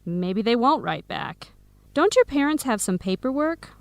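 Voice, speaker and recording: An actress playing a teasing, protective young American woman in a radio drama, wry and clearly enunciated. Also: on the high side, sing-song, measured pace, no echo, full character voice. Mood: curious. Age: young adult. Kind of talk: scripted radio drama dialogue.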